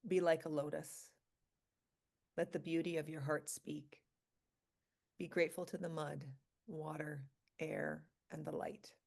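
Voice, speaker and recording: A woman leading a guided meditation for a group, speaking calmly and slowly in short, spaced phrases. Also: low-pitched, vocal fry. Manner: calm, slow, pausing between short phrases. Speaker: meditation leader, physician.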